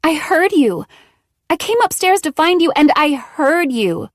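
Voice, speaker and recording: A woman's voice: high voice, normal speed, a little mellow, dry recording, studio quality, pitched above the performer's own voice. A woman reading an audiobook, voicing an earnest teenage girl whose voice rises with urgency. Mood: confronting. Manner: urgent, rising voice.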